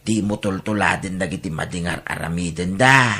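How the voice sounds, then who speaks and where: A man's voice: low-pitched, moderate pace, bright, forceful tone, husky, full character voice. A man acting as a patient old grandfather with an Ilocano accent, counselling a child soothingly in an audio drama. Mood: patient, gentle.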